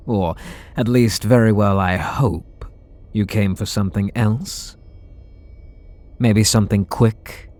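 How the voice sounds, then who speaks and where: A man's voice: lowish voice, darkish tone, close to an everyday voice. A man voicing a bitter, sarcastic supervillain in an ASMR roleplay audio drama, talking in a sardonic, mocking way. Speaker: voice actor.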